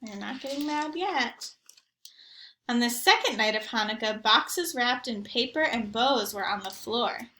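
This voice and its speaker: A woman doing an expressive read-aloud for young children, with questioning asides to her listeners. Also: a little high, measured pace, roomy sound, slight background noise.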